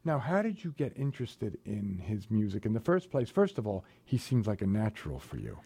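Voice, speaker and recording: Guy hosting a radio show, warm mellow voice, dry close sound, upbeat and friendly. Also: fairly low pitch, huge pitch swings, brisk, vocal fry, husky, no echo. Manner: friendly, enthusiastic. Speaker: radio host. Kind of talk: radio interview.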